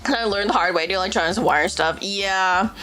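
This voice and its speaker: A young woman streaming casually, reading stories aloud and chatting with viewers, animated and quick to exclaim and swear. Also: medium pitch. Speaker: VTuber streamer. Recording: faint music behind.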